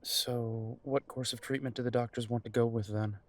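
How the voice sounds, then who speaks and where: A man's voice: medium pitch, fairly even intonation, normal speed, no echo, lightly acted. A man acting a grown soldier son in an audio drama, direct but worried and a little hesitant with emotion. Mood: concerned. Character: blunt grown soldier son, worried about his mother.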